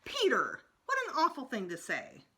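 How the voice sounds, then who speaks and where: A woman's voice: high voice, huge pitch swings, normal speed, pushed and bright, voice pushed up, exaggerated voice. A woman voicing a worried mother of young boys in a storybook, coaxing and imploring. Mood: scolding.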